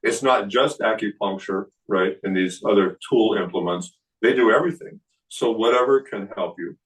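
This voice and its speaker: A man in his late sixties speaks in a low, unhurried voice, explaining things with plenty of "uh" and "you know". He sounds a little distant from the microphone, as if picked up by a camera across an indoor room. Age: 60s. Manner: relaxed explaining with many fillers. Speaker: kung fu teacher. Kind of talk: seminar talk.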